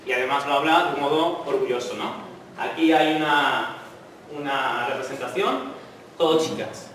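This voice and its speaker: A man's voice: fairly high pitch, normal speed, fairly bright tone, distant mic, a little hiss or hum. Man lecturing in an echoey hall. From Spain. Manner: informal, asks audience to interrupt, tag questions. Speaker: conference speaker. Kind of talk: university lecture talk.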